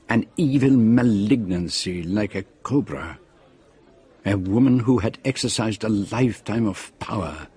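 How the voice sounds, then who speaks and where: An actor playing an observant gentleman detective who narrates a radio drama deliberately, in an ominous storytelling manner. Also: on the low side, husky.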